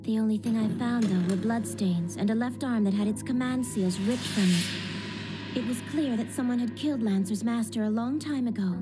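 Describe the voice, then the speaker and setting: A woman voicing a confident, analytical teenage girl in an anime dub, explaining briskly. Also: a little high, close to an everyday voice. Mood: matter-of-fact. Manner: brisk, explanatory, self-assured. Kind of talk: anime English dub acting. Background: background music.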